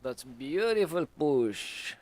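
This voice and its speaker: A man with English as his third language, live-streaming his trading and talking through it slowly with many fillers at a home desk. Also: fairly high pitch, big pitch swings, a little mellow. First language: not English. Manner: slow, hesitant, many fillers.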